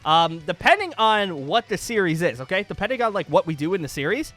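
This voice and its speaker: A man with a high-pitched voice chats casually and excitedly as a YouTube gaming host. He rambles and circles back to repeat his phrases for emphasis, with music playing behind him. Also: brisk. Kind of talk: casual excited vlog chat over gameplay.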